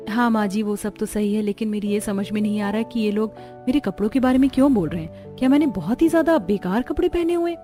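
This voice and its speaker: A woman's voice: medium pitch, quick pace, mellow, soft background music, close to an everyday voice. Woman as a meek, hurt young cartoon daughter-in-law. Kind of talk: Hindi cartoon voice acting.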